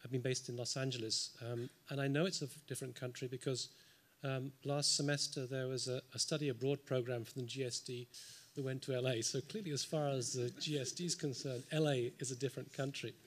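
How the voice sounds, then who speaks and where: A man's voice: mid-range voice, fairly even intonation, a little fast, few pauses. Man musing at a panel table. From United Kingdom. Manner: thoughtful, frequent um fillers, dry asides. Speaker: symposium panelist. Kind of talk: unscripted panel response. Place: large lecture hall, seated at a panel table. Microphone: table microphone.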